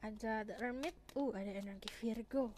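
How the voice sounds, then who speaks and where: A young woman with a hoarse voice, chatting casually as she gives a tarot reading to her viewers. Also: on the high side, moderate pace, a little mellow, a little hiss or hum. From Indonesia. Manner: chatty, switches between Indonesian and English phrases. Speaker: tarot reader.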